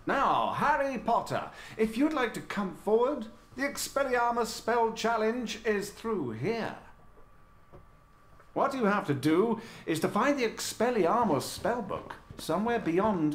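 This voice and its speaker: Game wizard teacher, vain and showy, high lively voice, roomy echo. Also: male voice, unhurried, heavily acted. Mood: grandiose.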